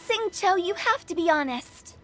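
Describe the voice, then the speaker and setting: A woman's voice: very high-pitched, noisy background, exaggerated voice. An actress playing a bold, nosy, playful teenage girl in a video game, teasing and theatrical. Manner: teasing, inquisitive, expressive. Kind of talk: video game character dialogue.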